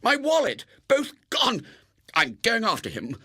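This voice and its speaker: Guy doing earnest flustered young brit lad, stammery, pitched up, swoops all over, storybook style. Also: wildly swooping pitch, unhurried, bright, forceful tone, slightly rough, no echo, clean studio sound, exaggerated voice. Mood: furious. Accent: British. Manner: stammering, pleading. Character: earnest, flustered, self-righteous young man. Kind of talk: dramatised audiobook reading.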